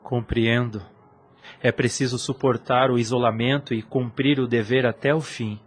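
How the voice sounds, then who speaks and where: Ardent, lovesick young priest played by an actor. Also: male voice, medium pitch, steady tone, normal speed, husky, natural voice. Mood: tormented, resigned. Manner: lofty, formal, earnest delivery. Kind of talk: solemn audio drama acting of a religious novel.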